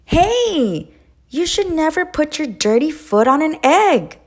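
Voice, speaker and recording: A woman voicing a fussy, bossy male duck in a children's storybook read-aloud, huffy and quick to scold. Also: high voice, big pitch swings, voice pushed up, exaggerated voice.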